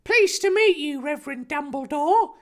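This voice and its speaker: A man voicing an eager, innocent young boy in a high, breathless voice. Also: animated, moderate pace, a bit bright.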